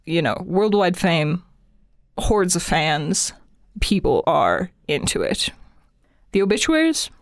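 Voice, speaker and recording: A woman's voice: on the low side, very animated, slow, dry recording, clean studio sound. A woman in her twenties co-hosting a podcast in casual chat, enthusiastic and emphatic. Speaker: podcast co-host.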